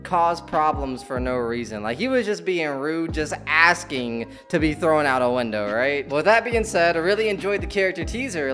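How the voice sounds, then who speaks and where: A man in his twenties giving casual, excited reaction commentary in slangy, playful language. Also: a little high. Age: 20s. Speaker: reaction video host. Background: music and game trailer audio.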